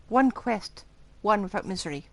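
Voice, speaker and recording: A woman's voice: mid-range voice, slight background noise. A woman streaming a game with casual live reactions, playful and given to mock grumbling.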